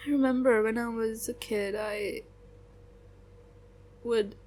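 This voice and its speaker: A woman playing a young adult best friend who speaks in a halting, subdued way with long pauses, venting about her family. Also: on the high side, fairly even intonation, darkish tone. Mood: hurt. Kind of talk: audio roleplay acting.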